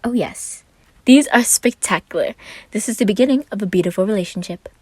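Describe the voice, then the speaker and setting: A woman's voice: mid-range voice, brisk, breathy edge, dry recording, pitched above the performer's own voice, lightly acted. A woman voicing an exuberant, theatrical young man in a fan fiction reading, with a dramatic, effusive delivery. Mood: pleased, playful.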